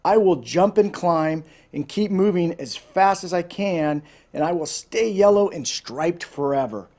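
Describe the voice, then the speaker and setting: A man voicing an eager, fidgety young male python in a storybook reading, with bright, questioning delivery. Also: sits high, lively intonation, a little slow. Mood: defiant.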